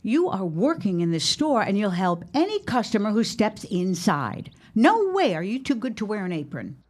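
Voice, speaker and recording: A woman acting a brisk, bossy middle-aged shopkeeper mother in an audio drama, stern, dry and no-nonsense. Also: mid-range voice, big pitch swings, a little mellow. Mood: stern, nagging.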